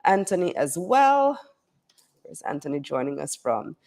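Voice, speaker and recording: A woman with a lowish voice that is hoarse and stuffy from a cold. She hosts a live show over a video call, chatty and upbeat as she greets viewers. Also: sing-song, unhurried, a little mellow. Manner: chatty, upbeat, reads viewer comments aloud. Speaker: show host. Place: hotel or conference venue, traveling.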